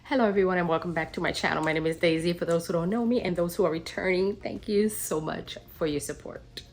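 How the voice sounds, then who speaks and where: A woman's voice: fairly low pitch, quick pace, soft background music. A middle-aged woman vlogging in a casual, rambling chat, sounding nasal as she gets over the flu. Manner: rambling, many ums and restarts.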